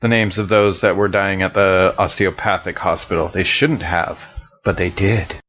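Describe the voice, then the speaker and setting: A man takes part as a player in a horror game podcast. His voice is moderately low and his talk is casual table chat. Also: fairly even intonation, moderate pace, fairly bright tone, highs cut off, slight background noise.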